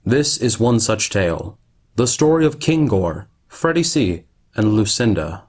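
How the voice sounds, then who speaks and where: A man narrating a futuristic radio play as a solemn, measured, dramatic storyteller. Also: lowish voice, sing-song, a little mellow.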